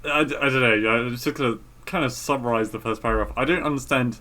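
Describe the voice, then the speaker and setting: A man's voice: mid-range voice, fast, bright, forceful tone. A man co-hosting a podcast, chatting casually and reading a story aloud. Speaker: podcast co-host.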